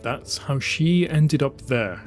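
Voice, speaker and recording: A broken old commander , played by a man, speaks slowly and without emotion. He sounds clinical, bitter and resigned, in an audiobook drama with music behind him. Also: medium pitch, expressive.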